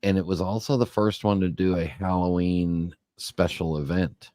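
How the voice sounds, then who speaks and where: Very deep-voiced man, casual chat. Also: fairly even intonation, moderate pace, warm tone, no room sound, studio quality. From Southern California. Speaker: co-host.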